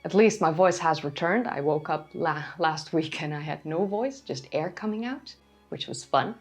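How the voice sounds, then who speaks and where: Raspy, lively woman narrating history. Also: low voice, moderate pace, faint music behind. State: hoarse from recent illness. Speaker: history channel host. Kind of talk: scripted educational narration.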